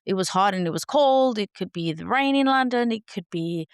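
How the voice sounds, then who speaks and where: A raspy, hoarse woman with a sore throat hosting her podcast in an unscripted, rambling chat, laughing at herself. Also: medium pitch, animated, moderate pace, no room sound, studio quality. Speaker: podcast host.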